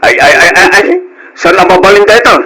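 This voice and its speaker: A man's voice: sits high, fast, pushed and bright, slightly rough, exaggerated voice, low-quality recording. A Filipino man playing an angry, indignant, domineering older father in an old radio drama. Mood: furious.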